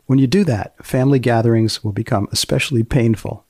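A man hosting a podcast, reading a scripted sign-off with wry, deliberate phrasing. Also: mid-range voice, heavy background noise. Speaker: podcast host. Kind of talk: scripted podcast outro narration.

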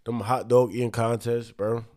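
A man with a smooth voice, joking and telling stories in a laid-back, casual podcast chat. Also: medium pitch, flat delivery, normal speed, darkish tone.

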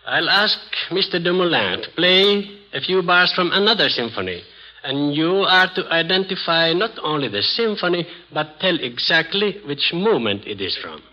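A man appearing as a guest on a radio quiz, putting formal, measured questions to children in a slightly halting way. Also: on the high side, sing-song. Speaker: guest musician quizzing children. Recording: dull lo-fi vintage radio recording.

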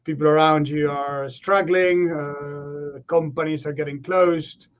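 A man, a guest on a remote interview who talks in a relaxed, reflective way with many fillers and restarts. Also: fairly high pitch, slow pace. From Argentina. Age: 30s. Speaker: guest, professional rugby player. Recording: slightly dull lo-fi call audio.

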